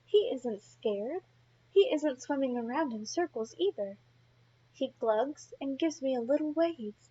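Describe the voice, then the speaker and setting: Female preschool teacher for storybook reading. High-pitched, unhurried, expressive, talks straight to small children. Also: muffled recording, a little hiss or hum. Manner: expressive, addressing young children directly.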